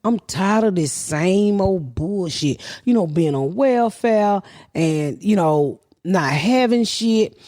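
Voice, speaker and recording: A woman's voice: medium pitch, lively intonation, slow pace, warm tone, no echo, studio quality. A middle-aged woman, a podcast host telling a long story in a blunt, profane, rambling way. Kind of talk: casual storytelling.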